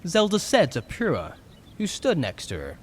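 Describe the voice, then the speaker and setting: A man's voice: fairly high pitch, wildly swooping pitch, moderate pace. A man giving dramatic scripted narration for a fan audio drama story.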